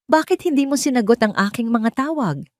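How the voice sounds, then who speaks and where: A woman's voice: fairly high pitch, animated, brisk, dry recording, pitched above the performer's own voice, lightly acted. Protective, indignant Filipino matriarch for audio melodrama, voiced by female narrator, dry recording. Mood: worried. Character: protective, indignant wealthy older mother.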